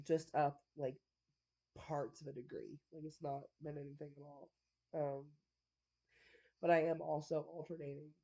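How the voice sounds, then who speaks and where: Congested woman rambling to camera at home, low voice, kinda dull lo-fi sound. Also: mellow. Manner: rambling, chatty, laughs often, many fillers. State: congested, stuffy nose. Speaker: vlogger. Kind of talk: casual chat to camera. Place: at home indoors.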